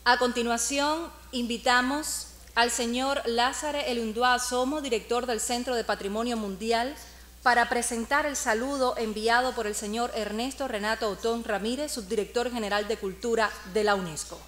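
A woman's voice: fairly high pitch, steady tone, moderate pace, a bit bright. A young woman, politely introducing speakers as master of ceremonies in a conference hall. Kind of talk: formal announcements. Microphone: podium microphone.

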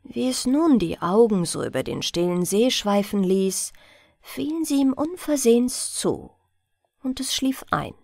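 A woman reading a bedtime fairy tale in a soothing, expressive storytelling manner. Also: mid-range voice, measured pace, creaky, no room sound, clean studio sound. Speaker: audiobook narrator.

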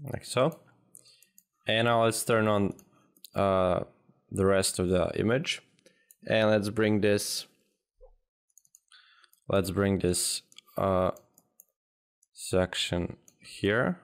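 Slow, gravelly-voiced male instructor. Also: on the low side, steady tone. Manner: unhurried, thinks aloud with frequent "uh" and restarts. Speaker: software tutorial instructor. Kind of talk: screen-recorded software tutorial. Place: at a computer, voice-over of screen capture.

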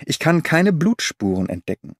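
A man voicing a keen, brooding detective in an audiobook, deliberate and murmuring as he thinks aloud. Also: a little high, big pitch swings, vocal fry, no echo, studio quality, pitched above the performer's own voice, exaggerated voice. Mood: keen, eager. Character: keen, brooding male detective, intense and impatient. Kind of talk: audiobook character reading.

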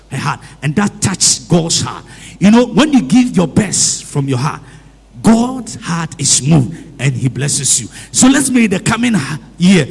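Priest, lively high preaching voice, gravelly edge, long church reverb, calls for amens. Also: male voice. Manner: repeats phrases and asks the congregation questions. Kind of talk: sermon. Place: church hall.

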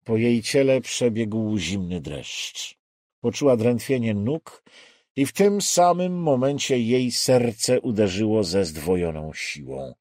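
Low, very animated male audiobook narrator. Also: no echo, clean studio sound. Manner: deliberate, suspenseful pauses. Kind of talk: dramatic scripted thriller narration.

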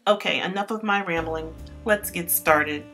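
A woman's voice: lowish voice, moderate pace, bright, forceful tone, faint music behind. A woman vlogger chatting upbeat to her viewers in a voice-over tour. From Florida. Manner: chatty and upbeat, addressing viewers as you guys. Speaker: lifestyle vlogger.

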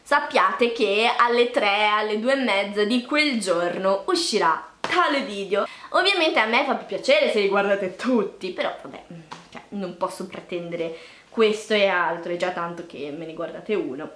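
A woman's voice: medium pitch, lively intonation, moderate pace, a bit bright. A woman chats casually to camera as a vlogger, playful and self-deprecating. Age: early 20s. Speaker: YouTube vlogger. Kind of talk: casual vlog talk to camera. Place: small indoor room with bare walls. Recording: echoey untreated room.

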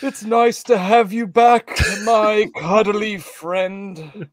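Villain role: gloating Sith master, extremely high-pitched, gravelly, very slow and pompous. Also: male voice, fairly even intonation, no echo. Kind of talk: live online comedy table read.

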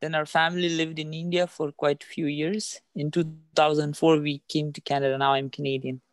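A man from Afghanistan answering questions about his background in a group video call, speaking in a measured, careful way. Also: on the high side, level tone, no echo. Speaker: participant.

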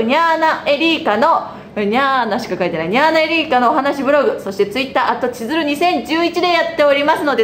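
High, playful young woman in an echoey room. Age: young adult. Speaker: cast member of a weekly live web show. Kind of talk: casual, playful live-show chat. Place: small indoor studio with a group. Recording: roomy studio echo, faint noise, lo-fi stream.

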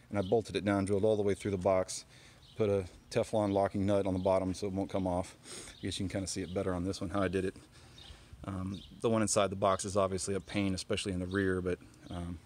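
A man's voice: low voice, darkish tone, slight background noise. A man walking around his kayak trailer outdoors and explaining it casually, with lots of ums and restarts, filmed on a handheld phone. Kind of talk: casual walkaround show-and-tell. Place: outdoors on a driveway.

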